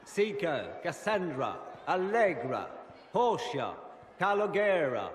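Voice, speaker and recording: An actor playing a pompous court herald in a fantasy game, grandly and ceremoniously proclaiming in an echoey hall. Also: male voice, high voice, sing-song, slow, a little hiss or hum, heavily acted. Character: pompous court herald announcing noble guests. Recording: echoey great hall, long reverb.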